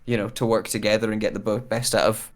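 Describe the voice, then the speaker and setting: A man's voice: on the low side, level tone, fast. A man chatting casually as a guest on a livestream, a voice actor who rambles enthusiastically and pokes fun at himself. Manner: enthusiastic, self-deprecating, rambling with many asides.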